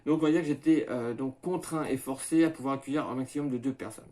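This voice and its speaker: Hesitant man in his thirties, halting. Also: mid-range voice, dark tone. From France, Maine-et-Loire. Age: 30s. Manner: halting, many filler "euh" and restarts, methodical point by point. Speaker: small farm owner presenting his project. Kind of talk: hesitant self-presentation to camera.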